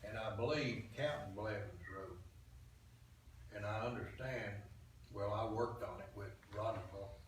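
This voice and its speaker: An older man with a Southern American accent talks haltingly in a low voice, making plain-spoken points as a local commissioner. The sound is heavily echoey and distant from the microphone. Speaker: county commissioner. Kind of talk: informal remarks at a public meeting. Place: small indoor meeting room. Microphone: camera microphone across the room. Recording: very echoey room, distant mic, background noise.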